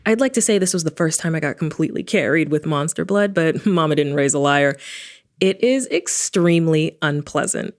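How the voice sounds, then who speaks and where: Actress as a low-voiced, sarcastic woman adventurer. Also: moderate pace, lightly acted. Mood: wry, casual. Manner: wry, casual, self-deprecating. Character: sarcastic, foul-mouthed, reckless woman adventurer. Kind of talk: first-person audio drama narration and dialogue.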